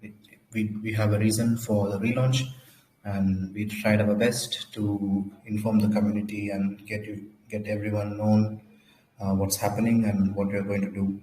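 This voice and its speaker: A man with a fairly low voice and a cold talks on a casual livestream, halting and repeating himself as he goes. His delivery stays flat and level. He is heard in an echoey room with a faint hiss of noise behind him. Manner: halting, repeats words and restarts often. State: has a cold, slightly hoarse. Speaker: crypto project founder hosting a livestream.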